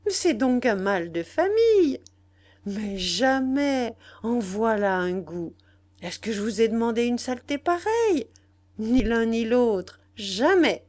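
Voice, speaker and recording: Brash young kept woman played by female reader, high voice, impatient, scornful, slangy audiobook dialogue. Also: expressive, unhurried, darkish tone. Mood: mocking indignation. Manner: exclamatory, scolding, slangy.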